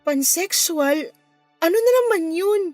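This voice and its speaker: A woman's voice: very high voice, animated, unhurried, darkish tone, no echo. An actress playing a hesitant, troubled young woman in a Filipino radio drama. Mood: hurt, confused. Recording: music under the dialogue.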